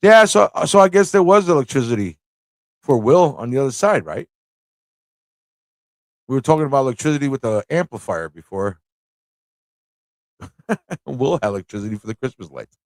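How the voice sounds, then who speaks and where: A man with a mid-pitched voice hosts a live quiz in a loose, conversational way. He reads questions aloud, repeats them and chats with viewers, and the sound is close and dry. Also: lively intonation, normal speed, long pauses, no room sound. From United States. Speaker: livestream host running a trivia quiz. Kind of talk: casual live quiz hosting, chatting with viewers.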